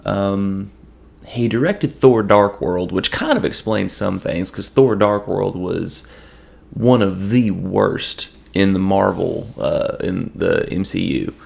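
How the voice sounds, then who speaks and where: Gravelly hoarse guy rambling thru a movie review, lowish voice. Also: takes it slowly. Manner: rambling, with frequent restarts. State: hoarse from allergies. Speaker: podcast host.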